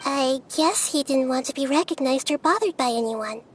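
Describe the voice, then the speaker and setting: A woman voicing a small childlike goddess in a video game, wise, composed and gently authoritative. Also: sits high, animated, moderate pace, a little hiss or hum. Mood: calm, instructive.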